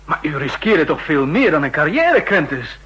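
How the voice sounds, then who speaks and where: A stern general with a husky, mid-pitched voice that rises and falls as he questions the others. He probes with pointed questions, in the manner of 1960s radio drama. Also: big pitch swings, moderate pace, pushed and bright, exaggerated voice. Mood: probing. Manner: probing, questioning. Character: stern, probing male general.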